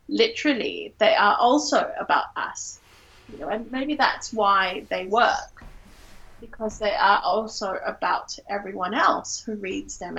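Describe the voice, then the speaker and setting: Thoughtful woman from Singapore, creaky voice. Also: mid-range voice, a bit bright, low-quality recording. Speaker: poet and teacher, interview guest. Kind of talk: reflective literary interview talk.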